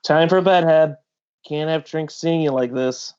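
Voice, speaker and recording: A man reading a big, seasoned pirate bounty hunter from a comic, wry, measured and explanatory. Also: fairly high pitch, darkish tone, smooth, muffled recording, lightly acted. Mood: weary, patient.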